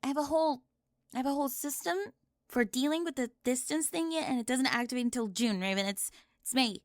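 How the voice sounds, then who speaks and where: A woman playing a talkative, bossy high school girl who gets easily flustered, in an ASMR roleplay with halting speech and breathy drops toward a whisper. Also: dry recording, studio quality. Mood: exasperated, animated.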